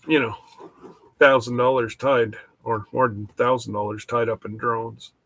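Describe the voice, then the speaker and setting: A man hosting a casual rambling chat, talking slowly with many pauses and restarts, his voice stuffed up from hay fever. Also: mid-range voice, level tone, creaky, a bit bright. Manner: slow, halting, with many restarts. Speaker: channel host. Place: workshop.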